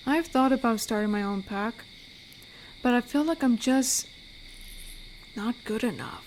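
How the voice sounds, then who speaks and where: A woman voicing an amiable, protective, lonely female werewolf in an intimate audio roleplay, speaking soothingly and reflectively with pauses. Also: fairly high pitch, slight background noise, natural voice, low-quality recording. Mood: wistful, vulnerable. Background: night forest ambience with crickets.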